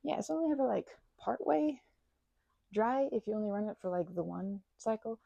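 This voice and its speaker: A woman's voice: mid-range voice, animated, normal speed, creaky, mellow, dry recording, lo-fi sound. A woman performing a casual best-friend ASMR roleplay, chatting in a playful, scatterbrained way and rambling as she goes.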